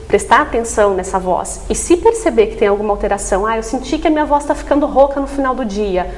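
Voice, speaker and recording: Female expert guest for TV interview, higher-pitched, explains step by step, checks in often. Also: expressive, quick pace, darkish tone. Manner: didactic, frequent tag questions seeking agreement. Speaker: voice therapist guest.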